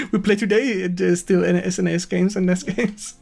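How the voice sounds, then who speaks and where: Creaky-voiced German man hosting a stream. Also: sits high, a little mellow, soft background music. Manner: halting, repetitive, searching for English words. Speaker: stream host. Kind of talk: casual interview on a game stream.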